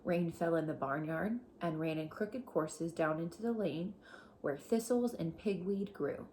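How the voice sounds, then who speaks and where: A woman reading a children's storybook aloud as a teacher, deliberate and explanatory as she addresses young listeners. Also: fairly low pitch, darkish tone, a little hiss or hum.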